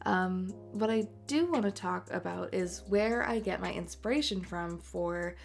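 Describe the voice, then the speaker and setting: A woman in her late twenties, an artist and tattooer, chatting in a voice-over over a speedpaint, raspy and congested from a cold, talking softly with many ums. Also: fairly low pitch, unhurried. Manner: soft, rambling, many ums and uhs. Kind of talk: voice-over chat during a speedpaint. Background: faint music.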